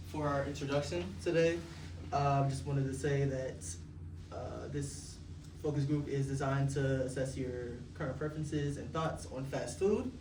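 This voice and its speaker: A man's voice: mid-range voice, a little mellow. Young male moderator, hesitant delivery, recorded from across an echoey room with noisy background. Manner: hesitant, many filler ums and uhs. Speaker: focus group moderator. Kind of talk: reading focus group guidelines. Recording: roomy, distant mic, noisy ambience.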